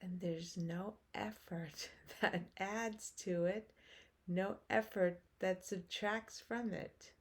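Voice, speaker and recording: A woman guiding a meditation as a teacher, speaking in short phrases with long pauses and hushed endings. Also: mid-range voice, steady tone. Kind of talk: guided meditation, slow spoken instruction.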